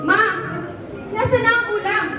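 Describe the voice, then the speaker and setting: A woman's voice: extremely high pitch, moderate pace, a crowd in the background. A woman playing a spoiled, ungrateful eighteen-year-old daughter, whining and demanding, in an amateur radio drama. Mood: scornful. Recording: echoey distant recording with music behind.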